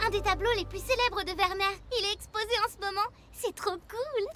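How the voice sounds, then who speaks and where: A woman's voice: very high voice, steady tone, fairly quick. A woman voicing a keen, sensible young schoolgirl in a cartoon, eager and organised. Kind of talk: French animated cartoon dub. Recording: loud music behind the voice. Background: loud music.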